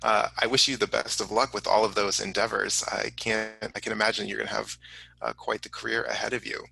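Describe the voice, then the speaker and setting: A man hosting a casual live question-and-answer program, speaking haltingly as he relays audience questions. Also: on the low side, flat delivery, creaky, bright, forceful tone, slightly rough, low-quality recording. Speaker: host of a science museum online program.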